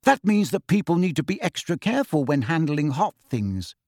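A man voicing an eager, excitable schoolgirl pupil in an audiobook, earnest in manner. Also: fairly high pitch, normal speed, no room sound, studio quality. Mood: excited, earnest. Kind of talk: acted audiobook dialogue.

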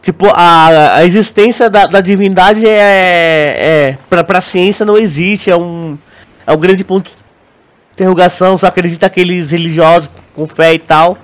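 A man's voice: sits high, steady tone, normal speed. A Brazilian man co-hosting a podcast in casual, slangy chat, often repeating words as he searches for phrasing. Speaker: podcast co-host. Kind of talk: casual chat, theorizing about a show.

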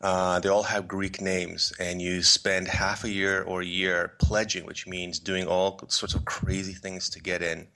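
Middle-aged guy with a low bright voice, rambly, lots of uhs and ums. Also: level tone, unhurried, few pauses. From Poland. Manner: rambling, with many fillers and restarts. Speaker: podcast guest, filmmaker and photographer. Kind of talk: relaxed conversational interview.

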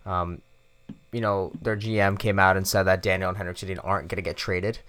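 A man's voice: low voice, little pitch movement, fairly quick, a bit bright, clear, resonant tone. A man co-hosting a casual sports podcast, agreeable, repeating himself and leaning on fillers. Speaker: podcast co-host.

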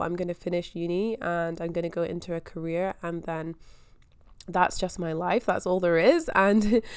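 A woman's voice: fairly low pitch, smooth, no room sound. A woman in her twenties from Scotland with a mostly neutral, faintly Scottish accent, talking chattily and thinking aloud as a podcast host. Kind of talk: casual solo podcast chat.

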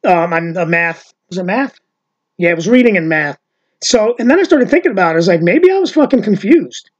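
A woman's voice: mid-range voice, lively intonation, a little fast. A woman hosting a casual podcast chat with her sister, rambling and peppering her talk with "like" and swearing. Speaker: podcast host. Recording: dull lo-fi audio.

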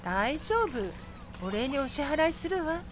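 A woman's voice: sits high, very animated, takes it slowly, slight background noise, exaggerated voice. A woman playing a kind, bereaved young mother in a radio drama, with tender, feminine, motherly phrasing. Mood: kind, wistful.

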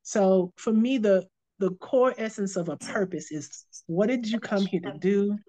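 A woman's voice: mid-range voice, measured pace, warm tone. Earnest motivational woman in her fifties. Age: 50s. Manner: earnest and motivational, repeats phrases for emphasis. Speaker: health and life coach. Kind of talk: casual podcast chat.

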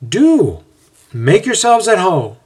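A man plays an eager young man welcoming guests in an audiobook story. He talks in an animated, informal, friendly way, pitched a little up, with a slightly husky edge. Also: takes it slowly, full character voice. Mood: flustered.

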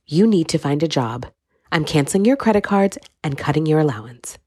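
A woman's voice: low voice, expressive, fairly quick, warm tone, breathy edge, no echo. A woman reading an audiobook, voicing a wealthy, middle-aged mother who speaks in a cool, steely, dry way. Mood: stern.

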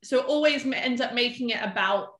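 A woman's voice: fairly high pitch, fast talker, a bit bright. A woman in her twenties, a photographer, chatting casually and rambling with lots of "like" on a podcast. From half American, half English. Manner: chatty, rambling, heavy use of "like". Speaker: guest photographer. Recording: echoey room.

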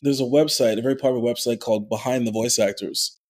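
A man, an actor, talking in a casual interview, animated and full of restarts, with a somewhat nasal voice. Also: medium pitch, fast talker. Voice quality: nasal natural voice. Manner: animated storyteller, many fillers and restarts. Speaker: actor and voice actor, podcast guest.

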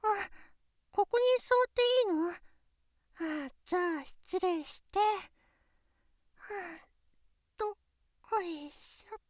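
Woman doing a sweet shy schoolgirl girlfriend, super high voice, stammers when embarrassed, dry close mic. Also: animated, slow pace, long pauses, a little mellow, no echo, heavily acted. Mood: chatty. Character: shy sweet teenage girl, a classmate girlfriend. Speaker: voice actress. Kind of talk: situation voice drama roleplay.

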